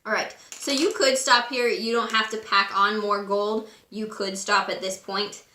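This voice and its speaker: A woman with a raspy voice today, casually talking through a makeup tutorial at home. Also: medium pitch, unhurried, roomy sound, slight background noise. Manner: chatty, relaxed and instructive, with frequent fillers. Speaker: beauty vlogger. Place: room at home.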